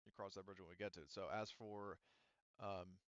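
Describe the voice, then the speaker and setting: A man with a low, clean voice leads a tabletop game. He rambles as he works things out aloud, in casual and sometimes profane language. The sound is dry and a little muffled. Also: steady tone, moderate pace, dry recording. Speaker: game master.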